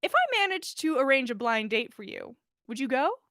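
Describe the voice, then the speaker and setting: A woman's voice: high voice, sing-song, moderate pace, a bit bright, no echo, clean studio sound, heavily acted. A woman reading as a confident, bossy young female friend, direct and teasing.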